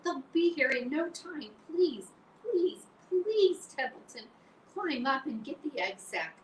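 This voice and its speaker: A woman doing Wilbur, a pleading, excitable young pig. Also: high-pitched, slow pace, pitched above the performer's own voice, full character voice. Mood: desperate. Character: anxious, emotional young male pig. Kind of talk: audiobook reading. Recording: roomy, distant mic, faint hiss.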